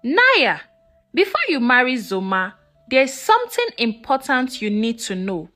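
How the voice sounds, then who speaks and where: Woman narrator as village mother, solemn keeper of tradition, earnest, high voice, animated pitch, storybook telling. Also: unhurried, faint music behind, exaggerated voice. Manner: earnest, declarative, instructive.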